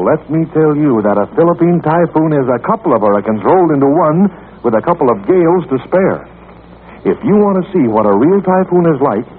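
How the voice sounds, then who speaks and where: An American man announcing a 1940s radio serial and pitching its sponsor in a dramatic, emphatic, confiding way. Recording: tinny, hissy 1940 radio recording.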